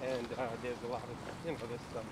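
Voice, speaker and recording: A man's voice: mid-range voice, little pitch movement, noisy background. Staff man giving directions outdoors. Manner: helpful, halting, points the way. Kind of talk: casual directions. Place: outdoors in a sculpture park.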